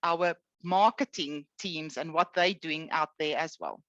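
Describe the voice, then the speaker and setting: A middle-aged South African woman, Afrikaans her first language, chatting freely and warmly as a podcast guest. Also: low voice, a little slow, no echo, highs cut off. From Eastern Cape, South Africa. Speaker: guest, HR executive. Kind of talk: podcast interview.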